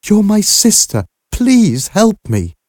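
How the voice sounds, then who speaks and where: Man voicing a desperate, pleading woman, pitched high. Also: huge pitch swings, slow, a little mellow, no room sound, studio quality, exaggerated voice. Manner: pleading, emotional. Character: desperate pleading young mother, a woman, bitter and grieving. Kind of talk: audiobook character voice.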